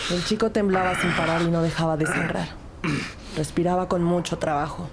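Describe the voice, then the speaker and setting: A woman's voice: fairly low pitch, fairly even intonation, a little fast, heavy background noise, close to an everyday voice. An actress playing an earnest, determined female police investigator who narrates a crime audio drama in the first person. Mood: sober narration. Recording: studio drama under loud music bed.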